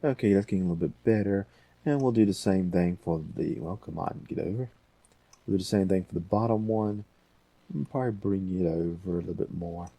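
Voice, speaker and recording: A man's voice: low-pitched, fairly quick, warm tone, no echo. A man hosting a casual audio editing tutorial, thinking aloud in a folksy, rambling way.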